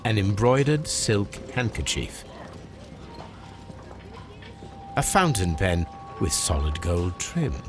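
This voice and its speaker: A man playing a shrewd, commanding English gentleman detective in a Victorian video game, speaking with precise, formal phrasing. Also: lowish voice, very animated, a little slow, over music. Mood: musing. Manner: precise, formal, clipped questioning. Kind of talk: video game cutscene acting.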